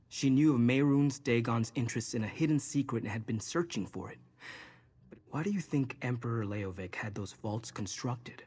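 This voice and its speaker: Casting: male actor as battle-schooled fantasy game mercenary with magic, mid-pitched husky voice, earnest guardian type, long reverb. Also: a little mellow, natural voice. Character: male mercenary wielder of magic power, raised in a vault, guarded protector. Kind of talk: fantasy video game dialogue.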